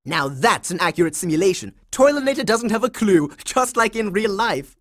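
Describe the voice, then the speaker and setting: A man's voice: high voice, animated, normal speed. Cartoon game kid hero, bossy boy agent, smug and dramatic, voice swings all over the place. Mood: smug, confident. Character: bossy, heroic boy secret agent, confident kid leader. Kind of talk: cartoon video game voice acting.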